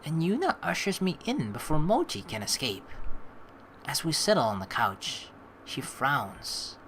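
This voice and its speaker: A woman hosting a let's play, reading a visual novel aloud as expressive narration. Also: deep voice, a little slow, a little hiss or hum. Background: game music.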